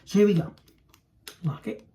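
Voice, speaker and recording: A man's voice: moderate pace, warm tone. A young adult man talking casually through a hands-on demonstration for a home vlog. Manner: narrates own actions while handling toys. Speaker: toy video creator. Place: small room at home. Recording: noisy home recording with clicks.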